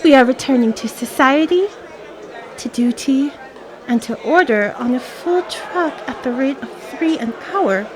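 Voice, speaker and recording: A woman's voice: sits high, animated, a little slow, a crowd in the background. An actress playing a young Parisian working girl who reads a letter aloud with mock formality, in a radio drama. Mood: amused.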